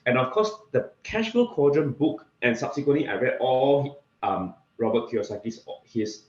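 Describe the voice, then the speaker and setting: A roomy, slightly muffled recording of a man with a Singaporean accent and a medium-pitched voice. He speaks casually as an interview guest, haltingly, doubling back on words as he explains things. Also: sing-song, a little mellow. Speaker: interview guest, real estate investor. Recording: echoey room, slightly dull sound.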